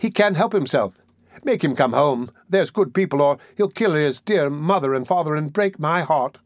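A man's voice: fairly high pitch, expressive, moderate pace, dry recording, close to an everyday voice. A man voicing a hysterical, scheming young woman who laments and gushes with feigned distress in a storybook. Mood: pleading. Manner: gushing, melodramatic lamenting.

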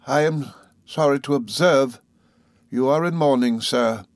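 A man's voice: mid-range voice, a little slow, no echo, pitched above the performer's own voice, lightly acted. A man voicing a proud, stiffly composed older mother who speaks in a formal, restrained way. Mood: grave.

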